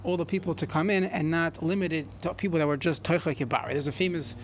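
Man with a high voice, talmud lesson, faint room ambience. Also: fast, slight background noise. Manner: reads in chant then translates, frequent restarts. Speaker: Talmud teacher. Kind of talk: Talmud class, reading and translating.

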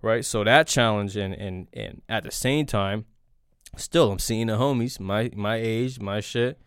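Young male host, low, clean tone, casual candid storytelling, dry close sound. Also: measured pace, clean tone, dry recording. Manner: relaxed, candid, frequent fillers and restarts. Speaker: podcast host. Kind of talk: casual interview conversation.

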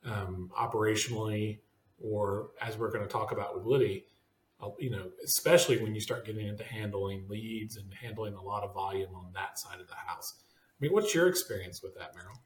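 A man's voice: fairly low pitch, fairly quick, echoey room, rough recording. A man hosting a business podcast roundtable, talking casually and rambling with fillers and restarts. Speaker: podcast host.

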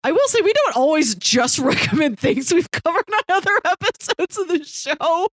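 A woman's voice: very high voice, very animated, moderate pace, fairly bright tone, slight background noise. A woman co-hosting a review podcast in casual chat, phrasing her thoughts in an articulate way. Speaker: podcast co-host.

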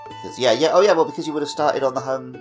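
A man's voice: mid-range voice, racing. A man co-hosting a casual podcast conversation about a video game. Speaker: podcast co-host. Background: background music.

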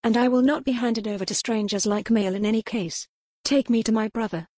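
A woman's voice: fairly high pitch, fairly even intonation, a little fast, dark tone, no room sound, clean studio sound, pitched above the performer's own voice, lightly acted. A woman voicing a proud young English noblewoman who speaks in a spirited, insistent way. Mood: defiant. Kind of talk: audiobook character voice.

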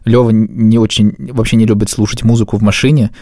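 A man's voice: lowish voice, level tone, fast talker, dark tone, dry recording, clean studio sound. A man co-hosting a podcast, telling anecdotes in casual, slangy speech. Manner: informal, slangy. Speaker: podcast co-host.

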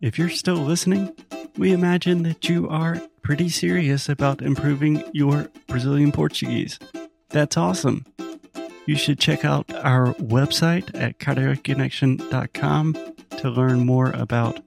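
A man reading a relaxed, scripted podcast outro promo. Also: on the high side, a little slow, gravelly. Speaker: podcast co-host. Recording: guitar music behind.

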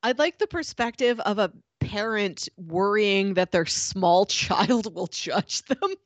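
A woman's voice: mid-range voice, slow, no echo. A woman co-hosting a podcast, talking casually and with animation about a TV show. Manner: animated, laughs while talking. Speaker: podcast co-host.

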